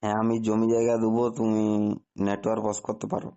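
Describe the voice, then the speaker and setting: Quick-talking man rambling on a phone call. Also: fairly low pitch, completely flat delivery, dark tone. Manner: rambling, insistent. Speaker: prank caller posing as phone customer. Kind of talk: comic rambling phone complaint. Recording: dull lo-fi phone call recording.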